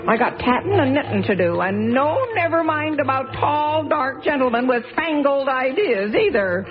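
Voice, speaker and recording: A woman's voice: high-pitched, expressive, moderate pace. An actress playing a stubborn, fussy old lady who scolds in folksy old-fashioned talk, in a radio drama. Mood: indignant. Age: older. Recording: dull lo-fi radio recording with faint music.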